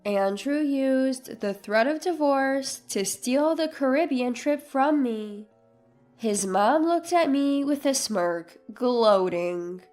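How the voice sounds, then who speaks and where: A woman's voice: high voice, lively intonation, takes it slowly. A woman reading a scripted first-person story as a narrator, with music behind her. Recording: music under the voice. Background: soft background music.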